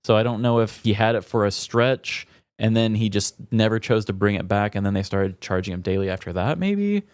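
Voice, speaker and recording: Quick-talking male podcast co-host. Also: lowish voice, animated, a little mellow, clean tone, dry recording, clean studio sound. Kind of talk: casual comedic chat.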